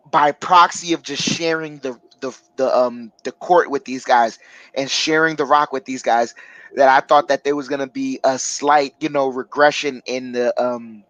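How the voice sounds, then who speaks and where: A man's voice: on the high side, fairly bright tone, dry recording, muffled recording. A man in a casual sports chat, hesitant and full of fillers and restarts as he gives his view.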